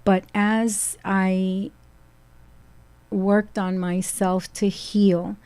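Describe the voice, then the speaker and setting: A woman's voice: mid-range voice, level tone, drawn-out words, a little mellow, smooth, dry recording. A middle-aged woman from Ecuador with a Brooklyn accent, a radio show guest talking reflectively and repeating phrases for emphasis. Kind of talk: casual chat. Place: radio studio.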